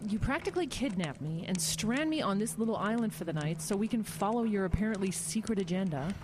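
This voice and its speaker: An actress playing a prickly, assertive government official, dry and argumentative, in an audio drama. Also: female voice, mid-range voice, expressive, a little fast, lightly acted. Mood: indignant. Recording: noisy storm ambience behind.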